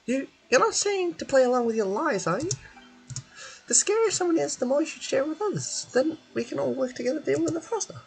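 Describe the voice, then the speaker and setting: Casting: tiny game fairy sidekick, squeaky falsetto, childish and indignant, music underneath. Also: male voice, lively intonation, brisk, gravelly, full character voice, rough recording. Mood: earnest, doubtful.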